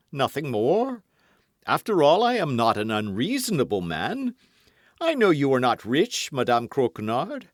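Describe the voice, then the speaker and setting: A man's voice: on the high side, no echo, clean studio sound, voice pushed up. Porthos, a man doing a boastful musketeer. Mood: grand. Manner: grand, wheedling, mock-melancholy. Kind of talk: audiobook character reading.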